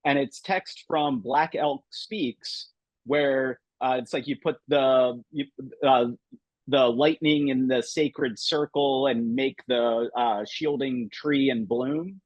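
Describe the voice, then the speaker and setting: Male roundtable host, husky and dry, eager and loose. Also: medium pitch, fairly even intonation, slow, no echo, rough recording. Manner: enthusiastic, many fillers. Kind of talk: casual roundtable discussion.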